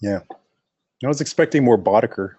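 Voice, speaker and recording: A man's voice: on the low side, fairly quick, dark tone, husky. A man giving casual reaction commentary as he watches along.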